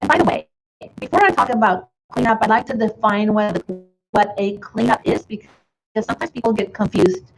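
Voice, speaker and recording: A woman's voice: medium pitch, fairly quick, soft background music, poor audio. A woman from Chile with a slight Chilean Spanish accent, presenting a bookkeeping webinar in a friendly way with many fillers. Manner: friendly, filler-heavy, restarts often.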